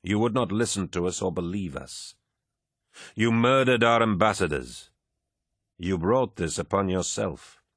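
A man doing a calm, deep-voiced giant warrior. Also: no echo, clean studio sound, exaggerated voice. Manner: calm and measured. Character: calm giant male warrior, steady and firm.

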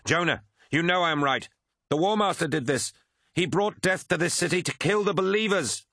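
A man acts out a devout loyalist officer in a science fiction audiobook. The voice is pushed higher, urgent and declamatory, full of defiance, and recorded very dry. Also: normal speed, bright, forceful tone, no echo. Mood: accusing, outraged. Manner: urgent, raised, declamatory delivery.

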